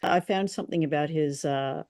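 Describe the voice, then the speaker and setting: A woman's voice: low-pitched, moderate pace, a little mellow, no echo, clean studio sound. A woman co-hosting a radio show, chatting informally and enthusiastically about stories. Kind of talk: casual chat about stories.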